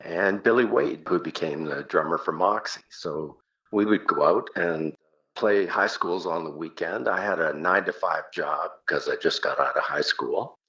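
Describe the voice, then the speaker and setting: Dull-sounding, very deep older Canadian man. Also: moderate pace, pushed and bright. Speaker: singer, interview guest. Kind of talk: casual reminiscing.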